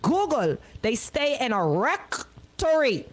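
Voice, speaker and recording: A woman's voice: sits high, sing-song, takes it slowly, a crowd in the background. A woman hosting casual radio banter in a playful, incredulous, exclamatory way. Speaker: radio host.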